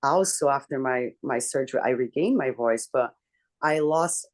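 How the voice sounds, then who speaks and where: A woman with a deep voice, earnestly telling a personal story to her book club over a video call. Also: normal speed, darkish tone. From Brazil. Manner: earnest, candid, reflective storytelling. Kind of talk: personal story in a group discussion.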